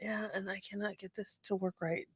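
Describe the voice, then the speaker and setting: A woman's voice: medium pitch, steady tone, a little fast, breathy edge, dry recording, studio quality. A woman co-hosting a casual podcast, mostly giving brief agreeing replies and correcting details. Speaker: podcast co-host. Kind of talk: casual chat.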